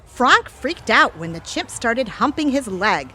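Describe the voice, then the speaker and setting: A woman's voice: fairly high pitch, sing-song, measured pace, pushed and bright, slight background noise, heavily acted. A woman playing a chatty, flirtatious actress in an audio theatre comedy. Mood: gossipy.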